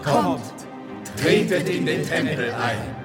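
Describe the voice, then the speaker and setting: Ceremonious priest, high and very slow, grand proclamation in echoing radio drama. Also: male voice, sing-song. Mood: triumphant. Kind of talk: classical theatrical verse acting. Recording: echoing, loud music behind.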